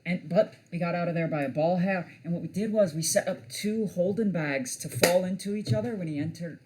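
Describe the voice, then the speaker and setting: A man's voice: high voice, a little fast, a little mellow. A man chatting colloquially as a tabletop game player.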